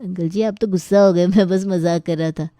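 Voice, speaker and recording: Joking, cheeky painter for a storybook, female performer, teasing delivery, mellow timbre, dry close sound. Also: fairly low pitch, steady tone, fairly quick, no echo, close to an everyday voice.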